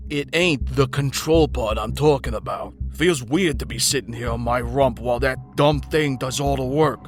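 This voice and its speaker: A man playing a gruff, impatient tough fighter in a motion comic, slangy and grumbling. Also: mid-range voice, moderate pace, soft background music, close to an everyday voice. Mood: grumpy skepticism.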